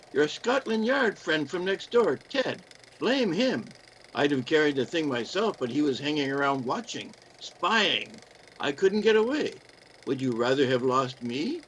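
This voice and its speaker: An actor playing a defensive, pleading husband with a guilty secret in a radio play. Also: male voice, a little high, a little hiss or hum. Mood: defensive, agitated. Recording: live-streamed radio play, music behind.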